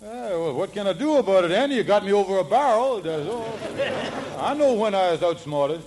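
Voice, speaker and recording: An actor playing a wheedling, stammering schemer who mangles big words in an old radio sitcom. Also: male voice, high-pitched, animated, a little fast. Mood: slippery. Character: scheming, fast-talking lodge schemer dodging trouble. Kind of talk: 1950s radio sitcom acting. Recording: noisy old radio comedy recording.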